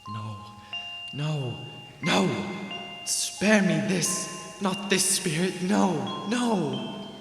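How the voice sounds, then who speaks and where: In a reverberant hall with music behind, a man plays a miser haunted by his past. He pleads in anguish, slowly and dramatically, in a radio play. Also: sits high, big pitch swings, creaky, exaggerated voice.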